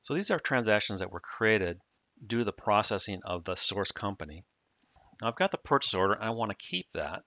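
Male software demo narrator, lowish pitch, crisp forward tone, plain step-by-step delivery. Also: sing-song, fairly quick, no room sound. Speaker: software demonstrator. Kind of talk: scripted tutorial narration.

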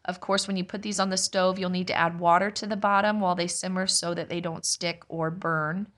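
A young woman, a mother, casually narrating a home cooking vlog in a relaxed, explanatory way. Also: on the low side, a little fast. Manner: relaxed, explanatory, chatty with light laughs. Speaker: homestead vlogger, mother.

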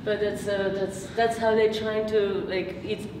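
A woman's voice: medium pitch, flat delivery, a little slow. A woman, giving a public talk as a researcher, hesitant with many fillers and restarts. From Japan. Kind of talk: public lecture with slides. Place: meeting room. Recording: echoey room from far off, noisy.

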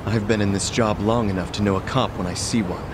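A man's voice: lowish voice, fairly even intonation, a little fast, background noise, natural voice. An actor playing a polite, rule-bound middle-aged club doorman in a video game, speaking formally and firmly. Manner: formal, firm.

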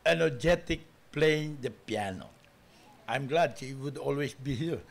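A man's voice: medium pitch, measured pace, creaky. A middle-aged man, preaching informally as a guest pastor in a rambling, anecdotal way. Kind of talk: informal sermon opening with anecdotes. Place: small church hall, at pulpit. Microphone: pulpit microphone.

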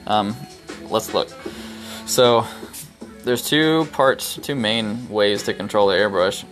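A young man with a very low voice talks through airbrush technique as he paints, relaxed and unscripted, with ums between thoughts. Music plays clearly behind him along with the hiss of the airbrush, picked up by a head-mounted camera mic in a small studio. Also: takes it slowly. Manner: relaxed explaining, frequent ums, talks while working. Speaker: airbrush artist. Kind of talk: casual live-stream tutorial while painting. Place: small brick-walled studio, indoors. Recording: music playing under the voice. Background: music playing, airbrush hiss.

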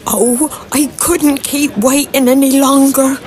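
A woman playing a fussy, motherly, excitable Edwardian woman in an audio drama. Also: sits high, slow pace. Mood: excited.

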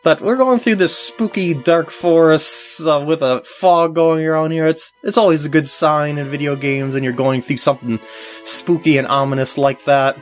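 A man's voice: a little high, steady tone, moderate pace. A man giving casual, jokey, rambling commentary as he plays through a video game. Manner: jokey, rambling, lapses into silly voices for characters. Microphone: voice recorded on a compact camera's microphone. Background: video game music.

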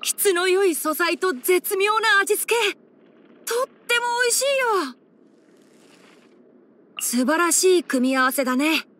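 Game role: clever, gentle young male fox-eared ranger, high boyish voice, measured and softly wry. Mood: pleased, warm. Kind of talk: Japanese game character voice-over.

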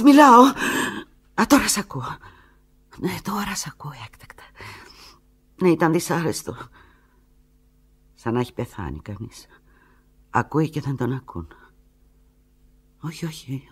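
An actress playing a desperate, lovelorn woman on the telephone to the lover who left her, in a theatrical radio drama monologue, halting and emotional with a breathy, husky voice. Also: lowish voice. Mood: agitated, urgent, then resigned. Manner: halting, emotional, swinging from hushed murmurs to urgent cries.

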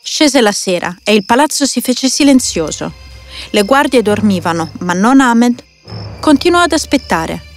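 A woman narrating a scripted fairy tale for children as a storyteller. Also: a little high. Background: faint music.

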